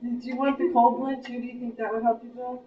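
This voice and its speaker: A woman's voice: a little high, flat delivery, fast talker, slight background noise. Chatty woman, far and roomy. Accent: Appalachian American. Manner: friendly, chatty, lists items off. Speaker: workshop leader handing out essential oil blends. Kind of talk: casual small-group chat. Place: meeting room, small group. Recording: distant roomy recording, muffled.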